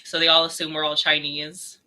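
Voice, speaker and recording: A woman's voice: sits low, completely flat delivery, brisk, pushed and bright, rough recording. A young woman from California co-hosting a podcast, chatting casually and telling stories with plenty of swearing. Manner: storytelling, swears casually, mimics others in quotes. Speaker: podcast co-host. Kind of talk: casual chat.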